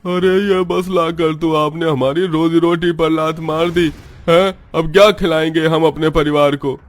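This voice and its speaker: A man's voice: a little high, steady tone, unhurried, natural voice. Brusque, scolding village man played by a man. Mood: angry. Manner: brusque, complaining. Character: gruff, scolding village man, impatient and indignant.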